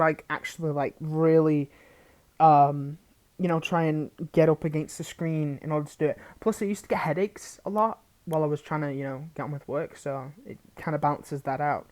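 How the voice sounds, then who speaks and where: A woman's voice: low-pitched, a little mellow. A woman hosting her own channel, giving a casual, unscripted update to listeners in a hesitant, rambling way that often breaks off and restarts. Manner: rambling, hesitant, self-interrupting. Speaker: roleplay audio creator, channel host.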